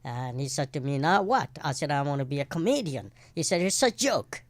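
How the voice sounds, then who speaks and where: An older man from Kenya, a guest actor in a casual interview, telling stories with dry, deadpan jokes and short playful answers. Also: on the high side, brisk, no room sound.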